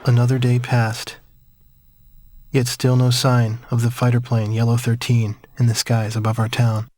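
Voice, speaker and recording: An actor playing a reflective man who recalls his wartime childhood in measured, somber game-story narration. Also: mid-range voice, monotone, a little mellow, no room sound, close to an everyday voice. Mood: wistful, somber. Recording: music behind the voice. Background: prominent music.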